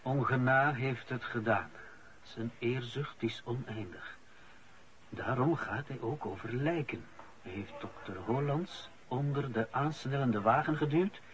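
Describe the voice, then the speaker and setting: An actor playing a grave man slowly reading out an accusing letter in a radio play. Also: mid-range voice, a bit bright, a little hiss or hum, lightly acted.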